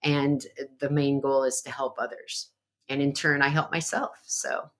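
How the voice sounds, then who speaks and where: Low-voiced earnest woman guest. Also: steady tone, a little slow. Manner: earnest and reflective, with frequent fillers. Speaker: podcast guest. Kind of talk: conversational interview.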